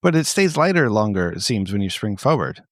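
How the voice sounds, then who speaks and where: A man's voice: lowish voice, very animated, a little fast, dry recording, clean studio sound. A man hosting a podcast in casual, profane, teasing banter, laughing easily. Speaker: podcast host. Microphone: close mic on a group remote call.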